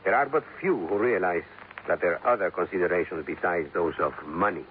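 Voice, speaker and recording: An actor playing a calm, stubborn police captain with a Spanish accent, speaking formally and deliberately in a 1950s radio drama. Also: male voice, sits low, narrow, tinny audio, natural voice. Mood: grave, resigned. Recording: noisy, thin 1950s radio recording.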